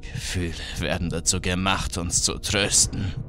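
A woman voicing a sinister little man with a smeared face, speaking in a cold, heavily pitched-down voice. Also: steady tone. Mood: menacing. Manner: slow, cold, menacing taunts. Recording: music heard clearly behind.

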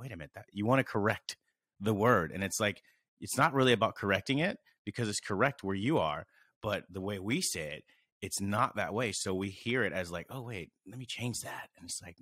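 A man's voice: fairly low pitch, no echo. A man in his thirties hosting a podcast in a small studio, chatting casually and rambling animatedly. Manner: animated, rambling, reacts with wows. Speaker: podcast host. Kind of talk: casual interview chat. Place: studio with dark curtain. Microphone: studio mic on stand.

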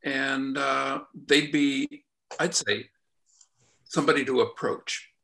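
A man in his seventies giving deliberate, halting comments as a committee member in an online meeting. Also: medium pitch, steady tone, creaky, sharp tone, poor audio. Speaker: committee member from a cycling coalition. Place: indoor room at home, on a video call.

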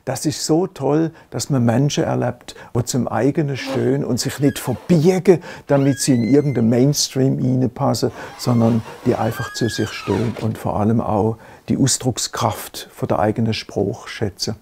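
A man's voice: mid-range voice, steady tone, normal speed, creaky, few pauses, background noise. An older man with an Alemannic German accent, speaking in earnest, enthusiastic interview answers with small hesitations, in an indoor study. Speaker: musician interviewed in a portrait. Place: indoor study with bookshelves and a double bass. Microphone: medium close-up camera framing.

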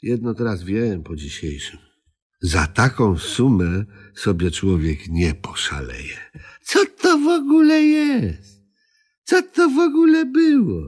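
A man's voice: fairly low pitch, wildly swooping pitch, takes it slowly, a little mellow, slightly rough, full character voice. An actor playing a sulky, self-justifying grown man in a radio comedy, grumbling, whining and repeating himself in indignation. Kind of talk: comedic radio play acting.

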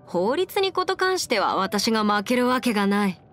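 A woman voicing a confident, brisk young half-immortal lawyer in an anime game, with crisp, formal phrasing and music behind her. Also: on the high side, sing-song, a bit bright. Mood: indignant, determined. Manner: crisp, self-assured, formal mannish phrasing. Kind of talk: scripted anime game character voice lines. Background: background music.

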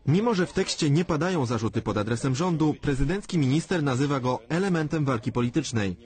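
A man's voice: a little high, lively intonation, fast talker, a little mellow. A man narrating a scripted television news report as a reporter.

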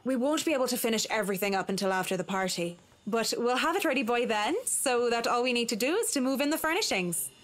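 An actress playing a reserved, earnest young professional woman in a visual novel, speaking in a polite, formal, measured way. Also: on the high side, animated, natural voice. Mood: businesslike.

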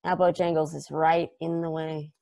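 A man reading an excitable, chatty space probe in a table read over a video call, rambling off into tangents. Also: fairly high pitch, moderate pace, vocal fry. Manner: enthusiastic, rambling, tangent-prone.

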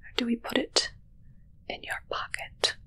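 A haughty immortal witch, played by a young woman, whispers in a close ASMR roleplay. Her delivery is unhurried and sharply bright, with a dry, teasing condescension as she muses to herself. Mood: pondering, bossy. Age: young adult. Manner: slow, musing, dryly condescending. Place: small indoor set with dark drapes. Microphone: close ASMR microphone.

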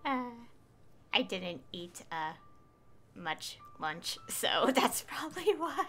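A woman's voice: medium pitch, sing-song, takes it slowly, pushed and bright, slight background noise. A woman in her twenties giving casual, rambling, giggly commentary as a game streamer.

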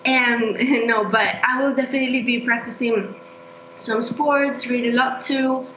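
A woman's voice: fairly high pitch, steady tone, measured pace, a bit bright. A young woman, a student guest speaking over a webcam video call, chatty and laughing easily as she answers. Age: young adult. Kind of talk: casual interview answers. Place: indoor room at home. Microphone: laptop or webcam mic on video call. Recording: echoey lo-fi video call with hum.

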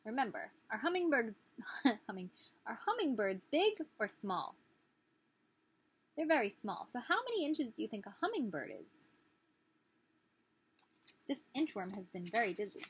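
A woman's voice: on the high side, big pitch swings, normal speed, long pauses, slightly rough. A woman in her thirties reading a picture book aloud as a teacher, expressively, putting questions to small children. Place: small living room, indoors.

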